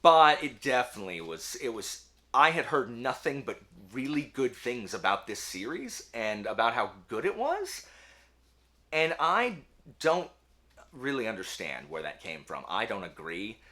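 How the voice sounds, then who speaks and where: Guy doing a casual book video, super animated up and down, bright emphatic voice, pokes fun at himself. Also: mid-range voice, measured pace. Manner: animated, self-deprecating, emphatic. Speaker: book review channel host. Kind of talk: casual talk to camera, answering book tag questions.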